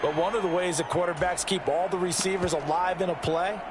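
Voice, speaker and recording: A man's voice: high voice, fairly even intonation, a little fast, background noise, lightly acted. A man voicing a confident American football color analyst in a video game, explaining and advising in an American accent. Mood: analytical. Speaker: color commentator.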